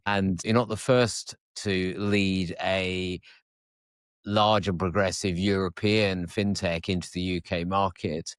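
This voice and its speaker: A man's voice: low voice, fairly bright tone, clear, resonant tone, no room sound, studio quality. A man hosting a podcast interview in a friendly, enthusiastic way. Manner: friendly, enthusiastic, some restarts. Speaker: podcast host.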